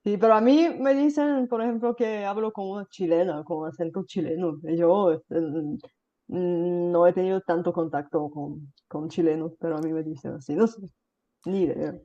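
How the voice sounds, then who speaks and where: A woman's voice: on the low side, fairly even intonation, moderate pace, warm tone, dull audio. A Bulgarian woman hosting a casual chat in Spanish with a slight Caribbean accent. She talks with many fillers and tag questions. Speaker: host. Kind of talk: casual conversation.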